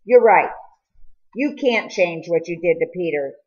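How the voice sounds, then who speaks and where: A woman's voice: on the low side, lively intonation, measured pace, darkish tone, muffled recording. A woman reading the part of a skeptical older man, a stern mentor in a storybook, in a firm manner. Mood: gentle, conceding. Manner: firm, challenging.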